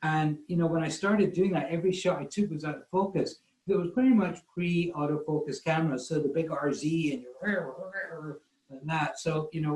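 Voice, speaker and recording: Scottish man, slightly high voice, rambling self-deprecating anecdotes, echoey room. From Scotland. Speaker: guest photographer. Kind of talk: casual storytelling in an online talk. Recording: roomy echo.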